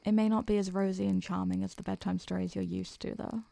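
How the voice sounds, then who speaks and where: Girl acting as a super old succubus lady, soft n doting, mellow w some fry, close intimate roleplay audio. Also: medium pitch, flat delivery, dry recording. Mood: teasing, fond. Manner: gentle, affectionate, unhurried, murmuring endearments. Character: ancient succubus woman, tender, doting, protective, wistful.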